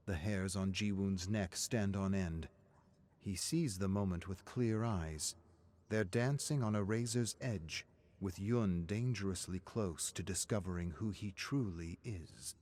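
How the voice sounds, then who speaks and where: A man reading dramatic scripted narration of a dark story in a measured, grave manner. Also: low voice.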